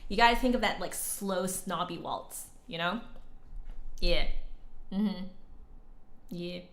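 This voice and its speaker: A woman chatting casually as a livestream host and flute teacher, conversational, with hesitant pauses and emphatic repeats. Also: lowish voice, fairly bright tone. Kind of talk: casual livestream chat, answering viewer comments.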